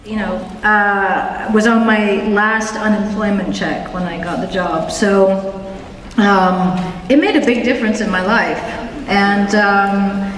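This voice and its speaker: A woman speaks haltingly and reflectively on a convention panel. She is far from the mic. The hall adds a strong echo. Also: mid-range voice, steady tone, noisy background.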